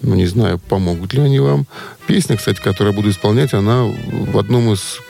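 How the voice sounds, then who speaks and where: Male radio host, lowish creaky voice, informal and jokey, chatting off the cuff. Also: brisk, warm tone, soft background music. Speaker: radio show host running a music quiz. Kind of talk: casual chatty radio hosting.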